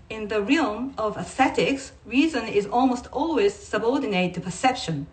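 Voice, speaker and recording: A woman reading non-fiction aloud in a measured, deliberate way, like a writer presenting a text. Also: mid-range voice. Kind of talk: read-aloud of non-fiction with brief informal asides. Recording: voice distant from the mic.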